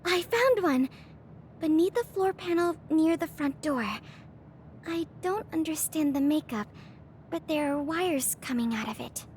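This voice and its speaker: A woman's voice: sits high, animated, measured pace, a little mellow, slight background noise. A woman playing a cheerful, earnest young fire-wielding teammate in an anime game dub. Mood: bright, excited. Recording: background music under the voice.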